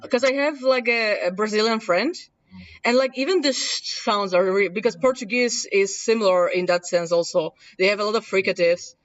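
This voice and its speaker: A woman from Poland with a mild Polish accent, casually teaching a workshop and thinking aloud as she demonstrates software. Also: mid-range voice, moderate pace. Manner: chatty, self-correcting, thinking aloud. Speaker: workshop presenter. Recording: distant, dull room recording.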